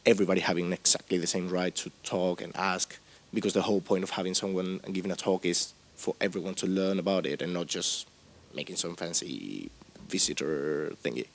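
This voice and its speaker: A man with a very deep voice reports back from a small group at a workshop. He talks in a halting, rambling way, with many filler sounds. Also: steady tone, creaky, a little hiss or hum. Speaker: workshop participant.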